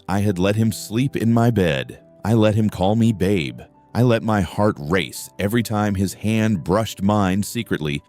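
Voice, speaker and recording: A very deep young male narrator tells a first-person story with wide, expressive pitch. He sounds introspective and wry, and faint music runs beneath him. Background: soft music.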